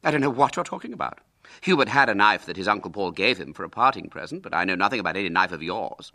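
A man's voice: on the low side, very animated, fast, vocal fry, sharp tone, exaggerated voice. A man voicing a cold, disapproving mother in a storybook, curt and haughty.

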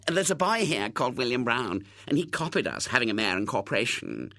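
A man's voice: mid-range voice, big pitch swings, fairly quick, gravelly, sharp tone, dry recording, exaggerated voice. A man voicing a smug, spiteful young boy in a storybook, gloating and taunting.

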